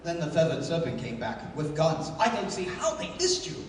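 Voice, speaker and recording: A man acting a calm, resolute, commanding adventurer doctor in the style of a 1930s pulp radio drama. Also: fairly high pitch, normal speed, a little hiss or hum. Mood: calm, grave. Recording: very echoey distant hall with music.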